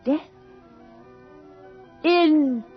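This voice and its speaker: An imperious society widow in a period radio play. Her voice is slow and languid, swooping with haughty wit and boredom. The recording is dull, with faint music. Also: high-pitched. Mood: wry, intrigued. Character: bored, witty, imperious aristocratic London hostess, long-lived widow. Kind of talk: period radio drama acting.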